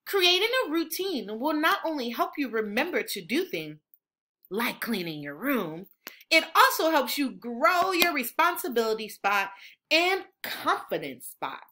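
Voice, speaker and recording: A woman reading a children's book aloud in an expressive, instructional way, like a teacher. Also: on the high side. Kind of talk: animated children's read-aloud.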